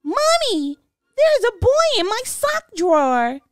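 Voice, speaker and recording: Shrill, excitable little girl. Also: slow pace, dry recording, soft background music, full character voice. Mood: alarmed, complaining. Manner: exclaiming, whiny protests.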